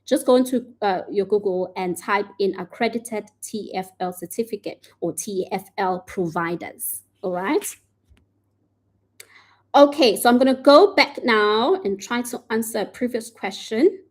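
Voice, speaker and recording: A woman hosting a live stream, answering viewer questions in a casual way and often checking in with "all right?" and "okay?". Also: mid-range voice. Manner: says she tends to speak too fast.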